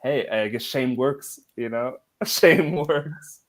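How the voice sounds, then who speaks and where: A man's voice: mid-range voice, slow pace. A man hosting a podcast, asking long rambling questions and backing the guest with short murmurs. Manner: frequent short backchannels, asks long questions. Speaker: podcast host. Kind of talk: casual podcast interview.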